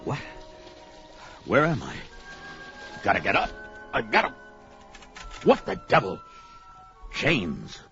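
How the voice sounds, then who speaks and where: A man's voice: medium pitch, wildly swooping pitch, slow, gravelly, long pauses, a bit bright, exaggerated voice. Man acting a proud barbarian, wildly swinging delivery. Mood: dazed, bewildered. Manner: defiant, archaic adventure-hero speech. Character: brawny, proud, hot-tempered barbarian man. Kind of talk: pulp fantasy audio drama acting. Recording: dull lo-fi with music behind.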